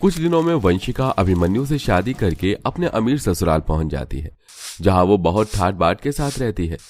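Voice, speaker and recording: A man's voice: sits low, animated, moderate pace, a little mellow. A man reading scripted storybook narration for a cartoon.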